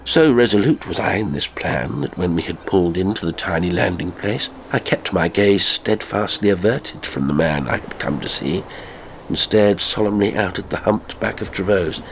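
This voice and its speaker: A man narrating a literary story in a measured, formal and expressive storytelling manner. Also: sits low, slight background noise, low-quality recording. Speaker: audiobook narrator.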